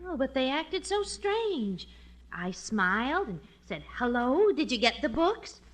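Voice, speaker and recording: An actress playing a suspicious, no-nonsense housewife with scolding, emphatic delivery in 1950s radio sitcom style. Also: female voice, sits high, big pitch swings, measured pace, exaggerated voice. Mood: worried, suspicious.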